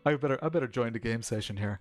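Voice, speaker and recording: A man's voice: mid-range voice, racing, soft background music. An Irish man chatting casually while he streams a sim race, laughing often and joking about himself. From Ireland. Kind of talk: casual live-stream chat.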